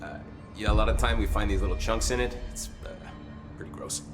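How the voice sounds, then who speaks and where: A weary security officer from a video game voiced by a man, speaking in a low register with clear music behind him. He is wry and chatty, hedging and muttering complaints like someone tired of his job. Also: lively intonation, a little fast, natural voice. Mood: chatty, candid. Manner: casual, hesitant, grumbling, dryly humorous. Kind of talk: naturalistic video game dialogue.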